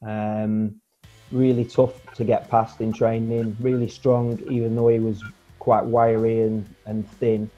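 A man in his forties talks in a low, flat, mellow voice, relaxed and rambling with frequent fillers. He is heard through an earphone mic on a dull, lo-fi video call, with faint music underneath. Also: measured pace. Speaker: guest, former footballer. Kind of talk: casual video-call chat. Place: home room, indoors.

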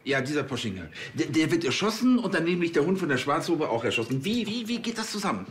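Fussy, excitable police chief. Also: male voice, fairly high pitch, quick pace, slight background noise. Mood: flustered, stern. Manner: stammering, pedantic. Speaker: actor in a TV crime comedy.

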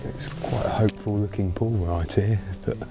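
British male angler, thirties, low unhurried voice, chatty riverside commentary over clear background music. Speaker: angler presenting a fishing video. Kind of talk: casual fishing commentary to camera. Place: outdoors on a wooded riverbank.

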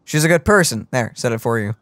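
Wry man with lively pitch, gaming. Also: fast talker, dry recording, clean studio sound. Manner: joking, wry. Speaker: gaming channel co-host. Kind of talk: casual let's-play commentary. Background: faint game music.

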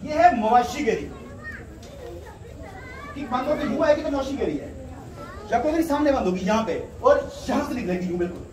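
A man leads a martial arts class with commands, counts and pointed questions. His voice sits high. It comes from a distance in an echoey, noisy open courtyard. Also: lively intonation, moderate pace, long pauses, crowd noise. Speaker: karate instructor. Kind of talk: live class instruction with drill counts and commands. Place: open concrete courtyard dojo at night. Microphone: distant camera microphone.

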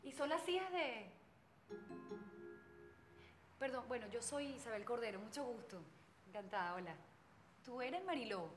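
An actress playing a lively, bold, motherly middle-aged woman neighbor who talks in a theatrical way. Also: fairly high pitch, a little fast, a little hiss or hum, lightly acted. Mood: chatty. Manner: bossy and soothing by turns, theatrical. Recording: music playing behind.

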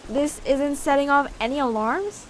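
A young woman playing a bossy, easily exasperated teenage twin sister in a video game fandub, brisk and scolding. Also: sits high, slight background noise, lightly acted. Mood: urgent, puzzled. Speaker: amateur voice actor.

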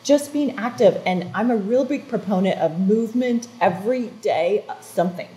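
An older woman explains health advice in an earnest, explanatory way, often starting over with "so" and "um". Her voice has a middle pitch for a woman and is picked up close by a clip-on mic in a studio. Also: normal speed, poor audio. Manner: earnest, explanatory, animated hand gestures. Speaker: wellness strategist guest. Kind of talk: informative interview talk. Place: TV studio set.

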